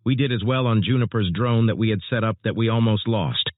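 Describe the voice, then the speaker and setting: A tinny narrow band, dry of any room, holds a lowish man's voice. He acts a sober, earnest storm chaser answering interview questions from a script. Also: brisk, dry recording, natural voice. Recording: muffled narrowband phone-like audio.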